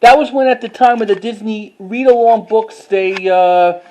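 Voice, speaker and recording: A man giving a casual show-and-tell as a collector, talking informally in a halting, repetitive way. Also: high voice, fairly even intonation, a little mellow, slight background noise, low-quality recording. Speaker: collector showing items to camera.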